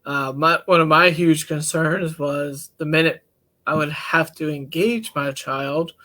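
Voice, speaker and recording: Relaxed, folksy male counselor, slightly high. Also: unhurried. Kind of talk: casual podcast-style discussion.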